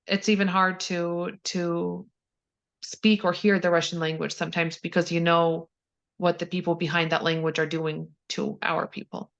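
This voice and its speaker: A woman's voice: on the low side, fairly bright tone. A woman from Ukraine answering interview questions, hesitant, with many fillers and restarts. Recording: dull lo-fi audio.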